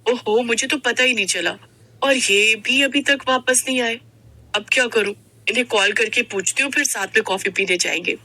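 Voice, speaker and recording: Woman acting young newlywed wife, very bright sharp voice, husky edge, dramatic, hurt yet defiant, audio story. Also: on the high side, normal speed. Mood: musing. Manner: expressive, dramatic.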